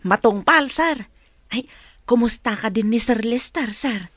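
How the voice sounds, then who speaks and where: A woman's voice: fairly high pitch, expressive, a little slow, a bit bright, dry recording. A woman playing a naive, nosy household helper in an Ilocano comedy radio drama, with an Ilocano accent. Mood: naively curious.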